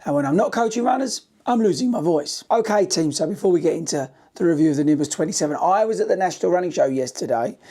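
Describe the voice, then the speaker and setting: A British man, a running coach, talking casually to camera in a shoe review while nearly losing his voice, croaky and hoarse. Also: a little high, lively intonation, fast, few pauses, warm tone. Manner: chatty, cheeky, direct to viewers.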